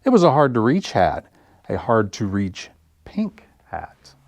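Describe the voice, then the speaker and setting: A man with a fairly low voice hosts a story time for small children. He reads very slowly, with deliberate phrasing made for young listeners. Music plays behind his voice. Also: huge pitch swings, a little mellow. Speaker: library story time host. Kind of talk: children's read-aloud and sing-along story time.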